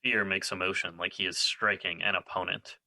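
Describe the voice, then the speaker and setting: Sharp, deep-voiced male stage-direction narrator. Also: little pitch movement, brisk, vocal fry, no room sound, low-quality recording. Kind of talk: scripted narration.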